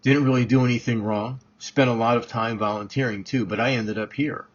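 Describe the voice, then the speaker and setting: A man voicing a stoic, resigned elderly grandmother in an audiobook, husky and measured. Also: mid-range voice, steady tone, voice pushed up, close to an everyday voice. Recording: dull, muffled lo-fi recording.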